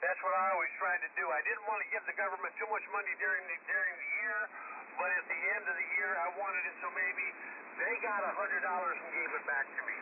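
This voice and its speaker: Halting ham radio man, thin band-limited sound. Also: sits high, steady tone. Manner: halting, stammering with repeated syllables. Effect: shortwave single-sideband radio. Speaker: amateur radio operator. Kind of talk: casual two-way radio chat. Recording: narrow phone-like radio band, faint static. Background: faint radio static.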